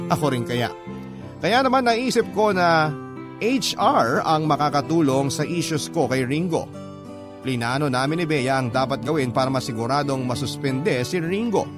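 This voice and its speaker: A mid-pitched man narrates a letter in classic radio storytelling style. He moves through it in measured phrases, with guitar music clearly playing behind him.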